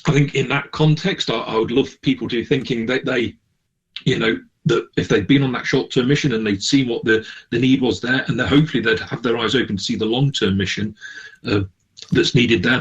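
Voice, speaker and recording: A man's voice: mid-range voice, slightly rough, muffled recording. A man answering as a radio interview guest, hesitant and often restarting as he searches for words. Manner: hesitant, searching for words with repeated false starts. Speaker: charity manager, interview guest.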